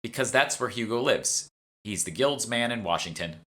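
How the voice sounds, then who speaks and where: A man's voice: on the low side, expressive, normal speed, bright, forceful tone. A man voicing a bossy, curt, sardonic female guild fixer in an audiobook. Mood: matter-of-fact. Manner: curt, impatient, know-it-all.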